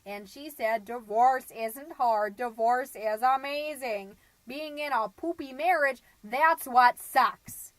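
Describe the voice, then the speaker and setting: A woman's voice: on the high side, lively intonation, normal speed, a bit bright, dry recording, poor audio. A woman playing a middle-aged suburban stay-at-home mom, gossipy and naive, rambling through a comedic podcast monologue with folksy, dramatic emphasis. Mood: indignant, judgmental. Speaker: podcast host.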